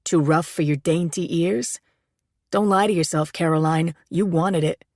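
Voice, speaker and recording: A woman's voice: on the low side, unhurried, no room sound, clean studio sound. A woman narrator voicing a possessive, volatile young man who talks in a sullen, accusing way.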